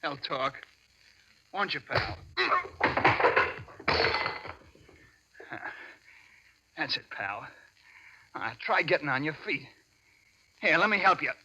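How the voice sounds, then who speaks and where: An actor playing a loyal, rough henchman in 1940s radio crime drama style, tough and slangy but deferential to his boss. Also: fairly high pitch, animated, moderate pace, very noisy. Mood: eager, menacing. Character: loyal, rough male henchman, eager for violence.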